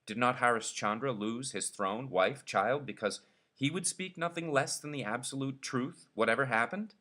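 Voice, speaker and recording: A man's voice: mid-range voice, moderate pace, pushed and bright. A man narrating a short story expressively, as a reader telling it aloud.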